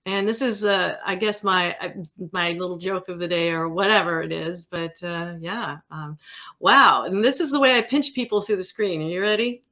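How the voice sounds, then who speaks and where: A woman's voice: fairly low pitch, normal speed, sharp tone, a little hiss or hum. Informal, playful woman hosting an interview. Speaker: interview host. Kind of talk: casual chat.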